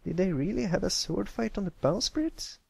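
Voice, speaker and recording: A man plays a bold young filly pony in a story. The voice is raised in pitch, curious and blunt, with a dry recorded sound. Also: expressive, normal speed, vocal fry, darkish tone, slightly rough, no echo, exaggerated voice. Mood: wondering.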